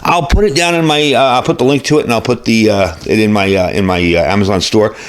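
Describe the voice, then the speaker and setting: Man, lowish voice, casual gear reviewer, conversational, measured, plain-spoken, unscripted. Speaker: host of a radio gear review channel. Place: indoor workshop at a desk.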